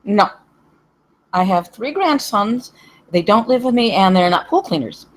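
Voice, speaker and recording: A woman's voice: mid-range voice, expressive, a little slow. Cranky old grandma, blunt and opinionated, acted by a woman, for a comedy script read. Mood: cranky, indignant. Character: bigoted, cranky old grandmother landlady, nostalgic for 1964.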